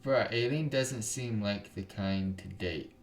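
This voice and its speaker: A man's voice: on the low side, slow pace, reverberant, soft background music. A man hosting a gaming channel, chatting casually and rambling through a visual novel playthrough. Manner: casual, rambling, filler-heavy. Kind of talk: let's play commentary.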